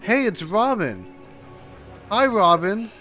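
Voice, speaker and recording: A man streaming his live reaction to a video game, talking informally and haltingly, often repeating himself. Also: high-pitched, sing-song, bright, forceful tone, soft background music. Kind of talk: casual live reaction commentary.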